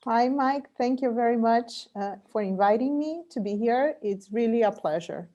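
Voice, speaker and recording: A woman's voice: a little high, normal speed, dark tone, lo-fi sound. A middle-aged woman from Brazil, a Portuguese speaker, answering interview questions as a guest about her career and business. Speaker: guest, corporate venture investor.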